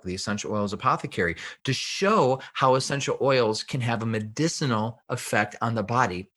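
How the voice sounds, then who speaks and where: A man's voice: medium pitch, a bit bright, husky. A man speaking as an interview guest, explaining things in an emphatic, didactic way and posing questions that he then answers. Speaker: podcast guest, health researcher and author.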